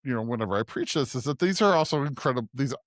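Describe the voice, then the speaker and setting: A man co-hosting a podcast as a preacher, discussing scripture conversationally in a halting way, searching for words and often checking in with "right?". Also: mid-range voice, expressive, no echo, clean studio sound.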